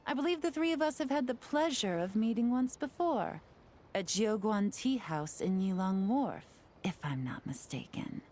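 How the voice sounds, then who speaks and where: A woman's voice: medium pitch, expressive, darkish tone, a little hiss or hum, close to an everyday voice. A woman playing a poised, shrewd investigator in a video game, speaking with composed, confident polish. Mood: sly politeness. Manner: composed, measured, coolly confident. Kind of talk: video game character voice acting.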